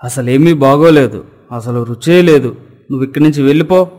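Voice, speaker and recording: A man's voice: mid-range voice, fairly quick, a little mellow, in a large hall, lightly acted. A man playing a fussy, gluttonous village landlord in a cartoon story, talking in a bossy, demanding way. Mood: disgusted, irritated.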